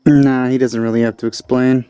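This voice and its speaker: A man's voice: mid-range voice, little pitch movement, moderate pace, dark tone, faint music behind. A man giving casual, offhand commentary as he plays a video game, with a wry manner. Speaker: gamer, let's play host.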